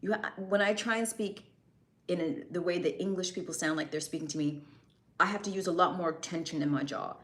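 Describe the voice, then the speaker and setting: A woman's voice: on the low side, a little fast, a bit bright. Chatty young American woman streamer, talking casually and laughing easily. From United States. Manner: chatty, answers chat comments, laughs often. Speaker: live streamer. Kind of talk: casual live-stream chat.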